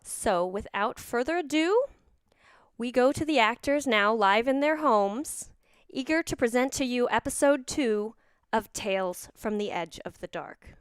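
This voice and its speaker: A woman's voice: on the high side, lively intonation, a little slow, smooth, no echo, studio quality. A woman hosting an audio drama show with a scripted theatrical introduction, delivered in a formal, dramatic way.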